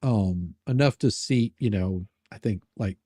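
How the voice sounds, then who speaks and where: A man with a dark, low-leaning voice tells stories in casual conversation. He speaks haltingly, circling back and repeating words as he rambles along. The sound is dry and close, without room echo. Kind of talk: casual chat, telling personal anecdotes.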